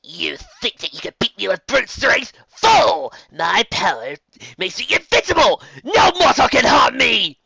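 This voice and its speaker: A man putting on a shrieking evil enchantress, high and grandiose. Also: sing-song, gravelly, slightly rough, no room sound, dull audio, heavily acted. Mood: furious, gloating. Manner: grandiose, shouting, drawn-out menacing delivery. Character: evil unicorn enchantress, female, arrogant, theatrical villain.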